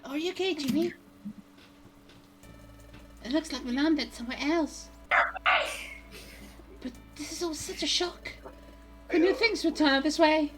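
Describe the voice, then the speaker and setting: A woman's voice: high voice, measured pace, long pauses, pitched above the performer's own voice. A woman voicing an anxious, bewildered young adventuring partner in a game, reading the dialogue in an earnest, worried manner. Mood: worried, puzzled.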